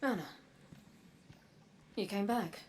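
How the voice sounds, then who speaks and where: A woman's voice: medium pitch, takes it slowly, slight background noise, close to an everyday voice. An actress playing a guarded, earnest robot woman, a protective sister, in a video game drama. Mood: tender, vulnerable. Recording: prominent music under the voice.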